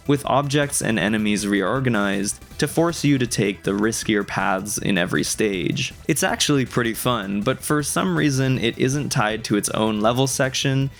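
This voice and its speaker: A man with a fairly low voice reads a scripted video essay about gaming. His delivery is conversational and wry, like a reviewer airing his gripes. Faint music plays behind him. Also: gravelly. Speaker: video game reviewer, video creator.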